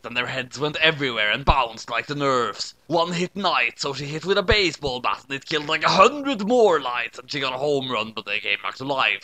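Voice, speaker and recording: A man's voice: a little high, pushed and bright. A man reading fan fiction aloud as hammy comic narration, emphatic and theatrical.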